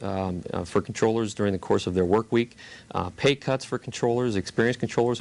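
A man speaking as a spokesperson, giving explanatory answers in an interview. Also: on the low side. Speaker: union spokesperson.

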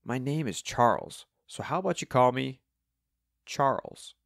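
Old gentleman pianist acted by a man, reserved, polite, measured, dry recording, storybook character. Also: mid-range voice, expressive, gravelly, no room sound, pitched above the performer's own voice.

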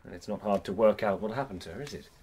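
An actor playing an indignant, headstrong bereaved son in a radio drama, blunt and bitter. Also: male voice, lowish voice, quick pace, a little mellow, breathy edge.

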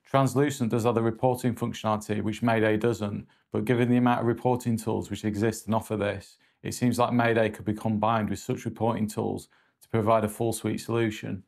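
A man in his forties presenting a scripted review in a measured, matter-of-fact way. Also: lowish voice, flat delivery, vocal fry, darkish tone.

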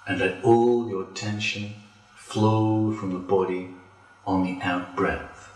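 A man leading a guided relaxation as a yoga instructor, speaking deliberately with pauses between phrases. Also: on the low side, fairly even intonation. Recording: roomy echo, distant from mic.

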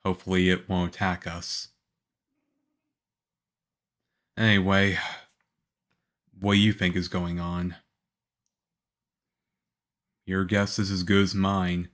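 Man acting as low, unhurried, reassuring agent. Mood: relieved, wry. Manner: soft-spoken, unhurried, reassuring. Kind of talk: ASMR roleplay.

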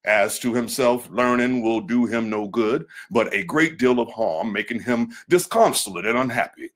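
Male reader as domineering 1800s master; emphatic, scolding, raised pitch, for dramatized memoir. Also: normal speed, close to an everyday voice. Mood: angry, commanding. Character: stern, domineering 19th-century slave-owning master.

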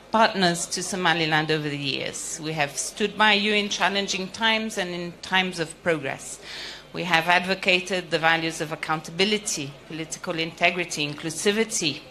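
A middle-aged woman delivering a formal ceremonial speech as a diplomat, speaking in measured phrases with pauses, into a handheld microphone at an outdoor ceremony. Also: fairly low pitch, bright, forceful tone. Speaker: EU diplomat giving an official address. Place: outdoor ceremony with a large seated audience. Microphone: handheld microphone held close.

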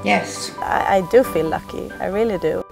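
A woman's voice: medium pitch, normal speed, vocal fry, distant mic, soft background music, rough recording. A woman in her thirties, a Swedish actress whose first language is Swedish, speaking thoughtfully in an outdoor interview with many hesitations. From Sweden. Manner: reflective, earnest, with frequent hesitations. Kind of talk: documentary interview. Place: outdoors on a lawn.

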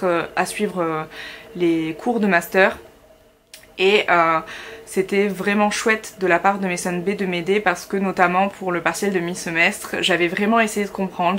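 A woman's voice: mid-range voice, a bit bright, a little hiss or hum. Young woman, from France, casual vlog chat, wry asides, bedroom to camera. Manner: chatty, many fillers, wry asides. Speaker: vlogger, master's student. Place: small bedroom indoors.

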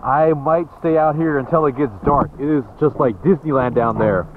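A man in his thirties talking excitedly to camera on a rocky seashore, recorded on a close action camera. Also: a little high, normal speed, phone-quality sound, a little hiss or hum. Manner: excited, upbeat. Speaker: fishing vlogger. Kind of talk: casual talk to camera. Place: outdoors on a rocky shore by the sea.

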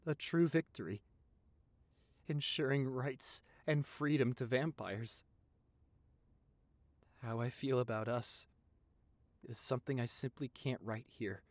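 A man playing a loving, sentimental vampire boyfriend in a romantic audio roleplay, speaking tenderly and haltingly with open emotion. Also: mid-range voice, no echo, close to an everyday voice. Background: background music.

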